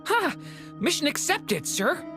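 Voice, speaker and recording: An actor voicing an eager, excitable young boy in a video game. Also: sits high, slow pace, over music, heavily acted. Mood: excited. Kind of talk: video game dialogue acting.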